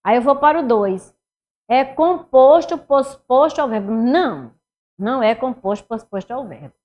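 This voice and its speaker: A middle-aged woman with a Northeastern Brazilian accent, teaching an animated online exam-prep class and talking students through each step. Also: high voice, moderate pace. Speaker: Portuguese teacher. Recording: somewhat dull lo-fi sound.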